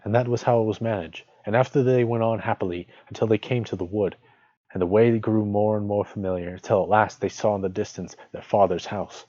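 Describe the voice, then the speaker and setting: A man with a relaxed, dark voice reads and chats like a storytelling streamer. The sound is dull and somewhat echoey. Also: on the low side, fairly quick. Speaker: streamer reading fiction. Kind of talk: storybook narration and casual stream chat. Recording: dull lo-fi audio, roomy echo.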